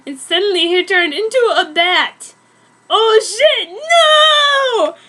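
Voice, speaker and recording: A woman's voice: very high-pitched, lively intonation, slow, pushed and bright, pitched above the performer's own voice, exaggerated voice. A woman voicing a gushing, boastful sixteen-year-old goth vampire girl in an overwrought, exclamatory mock-dramatic reading. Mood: frantic, gushing.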